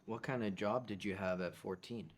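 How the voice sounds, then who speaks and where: A young man with a lowish voice hosts a podcast interview. He speaks softly and respectfully, asking gentle questions. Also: moderate pace. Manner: soft-spoken, warmly respectful. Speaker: podcast host, interviewing his father.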